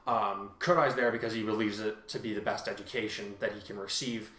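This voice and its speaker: A man chatting casually as a podcast host, thinking aloud in a halting, informal way. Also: fairly low pitch, fairly even intonation, fairly bright tone, reverberant. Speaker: podcast host and fan fiction writer. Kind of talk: casual chat.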